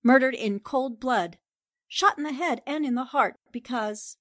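A woman's voice: high-pitched, unhurried, fairly bright tone, no room sound, clean studio sound. A woman playing a confident, determined newspaper sleuth in 1940s radio drama style, assertive and politely pointed. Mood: grave, forceful.

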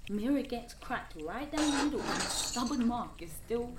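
A woman's voice: on the high side, expressive, moderate pace, noisy background, natural voice. A young woman acting an anxious, sardonic character in an intimate first-person audio drama, candid, wry and sweary. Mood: bitterly sarcastic. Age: young adult. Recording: studio drama with prominent music underneath. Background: music and sound design.

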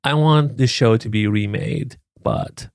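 A man co-hosting a podcast with a soft, playful way of talking in casual chat. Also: medium pitch, unhurried, gravelly, no echo, clean studio sound. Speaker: podcast co-host.

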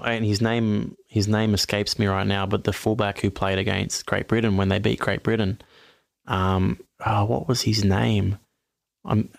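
Male podcast host, lowish gravelly voice, dry close sound, rambling and opinionated. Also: dry recording. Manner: rambling, self-correcting, frank opinions. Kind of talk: casual sports chat.

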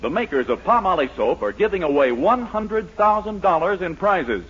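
A man's voice: sits high, big pitch swings, normal speed, noisy background. A man reading an advertisement as a 1940s radio announcer. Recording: muffled 1940s radio recording.

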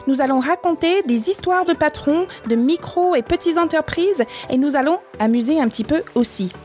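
Upbeat high-voiced host with English-speaking accent. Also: female voice, moderate pace, a little mellow, soft background music. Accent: English-speaking accent in French. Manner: upbeat, chatty, slightly scripted. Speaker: podcast host, business founder. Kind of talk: podcast talk and interview.

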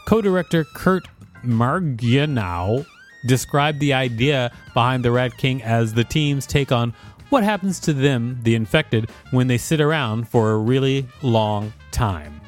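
Mid-pitched man reading aloud over music. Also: expressive, unhurried. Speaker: podcast co-host. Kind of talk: reading an encyclopedia article aloud.

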